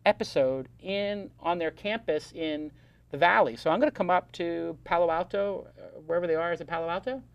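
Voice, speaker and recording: A middle-aged man hosting a live startup show, joking and talking fast in bursts. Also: a little high, vocal fry, clear, resonant tone, muffled recording. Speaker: podcast host.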